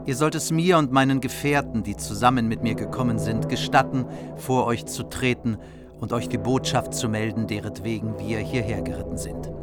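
An actor playing a noble margrave who speaks as a formal, earnest envoy in a radio play. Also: male voice, medium pitch, normal speed, natural voice. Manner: solemn, formal courtly speech. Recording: music under the voice.

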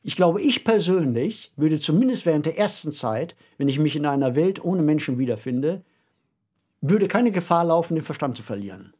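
A man who is an author, talking reflectively in a radio discussion and growing animated when he makes a point. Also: fairly high pitch, normal speed, slightly rough.

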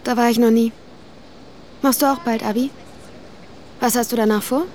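Forthright teenage girl, highish voice woman acting. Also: steady tone, normal speed, darkish tone, natural voice. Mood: friendly, curious. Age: young adult. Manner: direct, questioning. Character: curious, forthright, gently teasing teenage girl. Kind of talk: naturalistic audio drama dialogue. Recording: outdoor ambience, faint traffic noise.

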